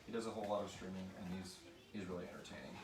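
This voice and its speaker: A man's voice: low voice, fairly even intonation, very fast. Man in echoey room, chatting informally. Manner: rambling, informal, thinking aloud. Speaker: host and show creator reading listener questions. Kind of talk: casual live Q&A chat. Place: live group recording in an echoey room. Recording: echoey room, distant mic, clear background noise.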